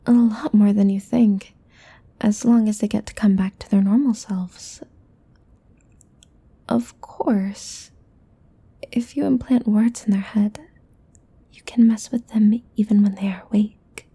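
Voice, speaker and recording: Woman as a softly whispering, teasing demon. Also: medium pitch, fairly even intonation. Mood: teasing, gleeful. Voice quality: soft, breathy whisper. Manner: slow, coaxing, teasing. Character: teasing, playful, possessive demon. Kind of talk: whispered ASMR audio roleplay.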